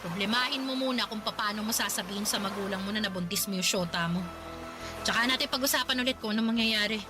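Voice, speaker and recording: An actress playing a blunt, sharp-tongued pregnant young student in a Tagalog radio drama, scolding and emotional. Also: female voice, mid-range voice, quick pace, natural voice. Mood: curt, exasperated. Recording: radio drama with loud music bed.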